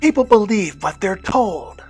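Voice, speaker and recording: Woman voicing a demonic overlord, haughty, formal, condescending, rough husky tone, comedy audio skit, music underneath. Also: medium pitch, lively intonation, a little slow, mellow. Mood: commanding. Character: haughty, scornful Prince of Darkness, demanding master. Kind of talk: scripted comedy podcast skit.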